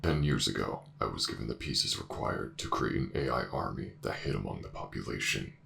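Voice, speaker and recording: A man voicing a formal, terse artificial intelligence war commander in a sci-fi audio roleplay, speaking in clipped, measured announcements. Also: extremely low pitch, creaky, background noise, pitched below the performer's own voice, exaggerated voice. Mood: solemn, urgent.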